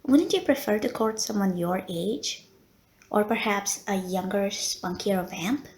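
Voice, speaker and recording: Unhurried, courteous lady vampire nurse, acted by a woman. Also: medium pitch, darkish tone, lightly acted. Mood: flustered, hesitant. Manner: genteel, formal wording with coy hesitations. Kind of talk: roleplay audio acting, addressed to the listener.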